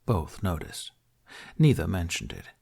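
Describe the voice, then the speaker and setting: A man's voice: low voice, wildly swooping pitch, unhurried, creaky, darkish tone, husky. A man reading fiction aloud as a scripted story narration. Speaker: audiobook-style reader of fan fiction.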